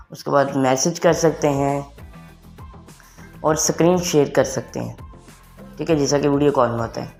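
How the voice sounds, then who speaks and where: A young adult man gives a casual tech tutorial to online viewers, mixing English phrases into his talk. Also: a little high. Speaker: tech video presenter. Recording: music behind the voice. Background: background music.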